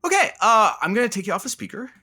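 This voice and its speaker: An actor playing a teasing radio show producer, with a casual, breezy way of talking in a comedy. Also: male voice, high-pitched, sing-song, fast, pushed and bright, full character voice. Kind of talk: scripted audio comedy banter.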